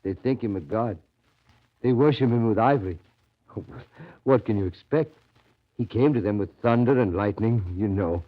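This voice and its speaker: An actor playing a ragged, awestruck Russian devotee in a 1930s radio drama, with a hesitant, reverent, confiding way of talking. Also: male voice, lowish voice, moderate pace, long pauses, lightly acted. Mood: confiding, admiring. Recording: muffled 1930s radio recording.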